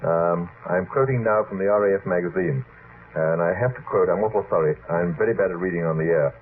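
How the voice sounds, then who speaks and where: Low-voiced man on a tinny old radio recording. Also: a little fast. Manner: hesitant, wordy, interrupting and steering others. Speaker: radio panel moderator. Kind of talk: unscripted late-night radio panel discussion. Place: radio studio. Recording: noisy, phone-like vintage radio archive recording.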